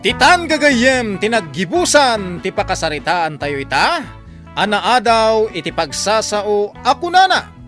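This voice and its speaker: Ilocano guy doing radio drama outro, high bright voice, scripted read. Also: very animated, a little slow, faint music behind. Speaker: narrator.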